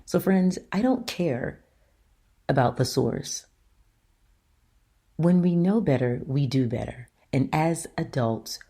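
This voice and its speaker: A middle-aged woman with a strikingly low, deep voice talks on her own show. Her tone is mellow and dark, and she speaks earnestly, pressing her points with questions to the listener. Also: breathy edge. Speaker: show host. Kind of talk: solo opinion talk.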